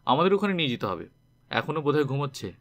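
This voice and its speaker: A man's voice: on the high side, big pitch swings, fast talker. A man reading the part of a decisive, caring young man who gives firm instructions in a story. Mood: firm, instructing.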